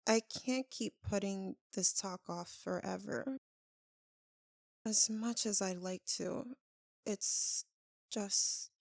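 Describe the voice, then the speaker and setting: A woman playing a tender, tormented vampire lover in an intimate ASMR roleplay, speaking haltingly and earnestly with long dramatic pauses. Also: mid-range voice, gravelly, dry recording, clean studio sound. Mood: reluctant, uneasy.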